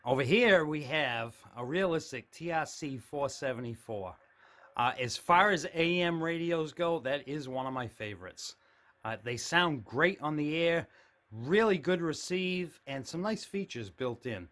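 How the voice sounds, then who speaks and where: A man's voice: on the high side, measured pace, a bit bright. A man giving a casual, unscripted show-and-tell as a hobbyist, speaking in a plain, matter-of-fact way behind a handheld camera indoors. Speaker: CB radio hobbyist giving a tour of his radio shack. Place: indoor room with shelves of radios.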